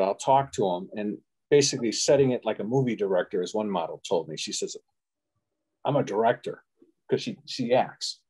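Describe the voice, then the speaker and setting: Casting: man in his 60s, from Chicago, mid-pitched, relaxed explainer with rambling asides, webcam video call from home. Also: rough recording. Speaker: guest photographer. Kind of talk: casual interview answers. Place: small room at home with window blinds.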